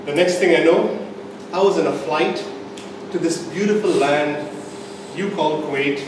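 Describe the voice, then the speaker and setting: Slow, high-voiced man storytelling in echoey room. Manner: dramatic pauses and emphatic build-up. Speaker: club speaker telling a tall tale in a speech contest. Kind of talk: theatrical comic storytelling speech to a live audience. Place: indoor meeting room, recorded from the audience. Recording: distant microphone, echoey room, background noise.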